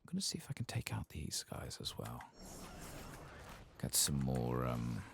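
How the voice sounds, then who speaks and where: Very deep, soft-spoken man with creaky voice. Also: huge pitch swings, unhurried, noisy background. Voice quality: soft, hushed, sometimes slipping into whispers. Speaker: video game streamer. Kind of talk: soft-spoken ASMR game playthrough commentary.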